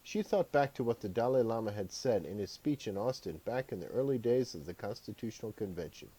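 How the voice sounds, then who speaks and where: Low-pitched man reading his novel aloud. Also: lively intonation, fairly quick, mellow, slightly rough, low-quality recording. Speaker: author reading own book aloud. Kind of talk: audiobook narration of fiction.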